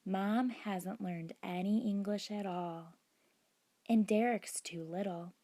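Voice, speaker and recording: A woman's voice: mid-range voice, fairly even intonation, slow pace. Woman as a shy, whispery young schoolgirl. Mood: confiding, worried. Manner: soft, confiding, partly whispered. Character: shy, earnest young schoolgirl, gentle and confiding. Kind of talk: children's storybook character voice.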